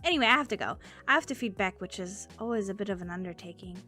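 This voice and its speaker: A woman's voice: medium pitch, expressive, fast, bright, forceful tone, faint music behind. A woman voicing a cheerful, quirky teenage girl who chats playfully in a fan dub, with an airy, teasing manner. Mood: cheerful, breezy.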